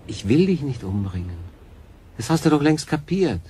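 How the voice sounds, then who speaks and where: An actor playing a wry, weary stranded sailor in a German sci-fi radio play, with a terse, dramatic delivery. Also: male voice, on the high side, sing-song, normal speed, dark tone, slightly rough, heavily acted. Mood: guarded.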